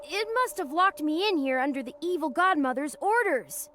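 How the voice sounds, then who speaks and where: High-pitched puppet boy. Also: expressive, moderate pace, fairly bright tone, slight background noise. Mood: sad, wistful. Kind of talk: video game cutscene voice acting. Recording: music under the voice.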